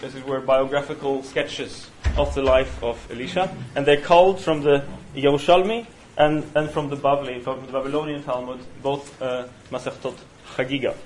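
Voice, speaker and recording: A man with a Norwegian accent leading a university study session, talking in a halting way with many fillers and restarts. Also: mid-range voice, steady tone, a little hiss or hum, low-quality recording. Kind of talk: university seminar lecture. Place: university room. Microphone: single room mic.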